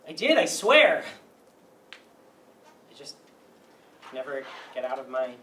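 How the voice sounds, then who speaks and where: A man in a staged play reading playing a troubled, defensive psychiatric patient, halting and agitated. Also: medium pitch, very animated, bright, forceful tone, full character voice. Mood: pleading. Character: troubled, defensive male mental patient with violent past. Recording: echoey room, distant mic, faint noise.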